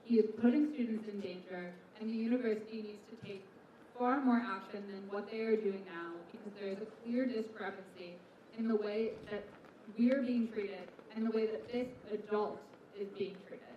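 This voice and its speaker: A woman's voice: mid-range voice, fairly even intonation, moderate pace, slight background noise. A young woman making a firm, indignant statement at an outdoor student press conference. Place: outdoors on a campus. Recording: distant, echoey, dull outdoor audio.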